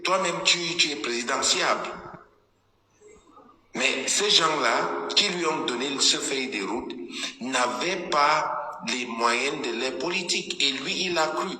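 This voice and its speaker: A man's voice: medium pitch, animated, measured pace, sharp tone. A man from anglophone Cameroon speaking French with an English-speaker's accent, arguing in a heated political debate and often repeating words as he searches for them. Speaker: panelist. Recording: echoey call with reverb and faint noise.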